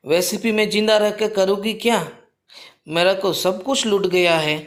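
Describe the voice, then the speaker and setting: A man's voice: sits high, steady tone, a little slow, a bit bright, voice pushed up. A man voicing a plucky, protective mother sparrow in a cartoon story, pleading and melodramatic. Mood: distraught.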